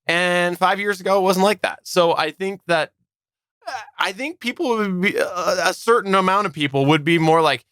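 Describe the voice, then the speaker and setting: Male podcast host, fairly high crisp voice, casual discussion delivery, dry studio-like sound. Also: moderate pace, a bit bright, no room sound.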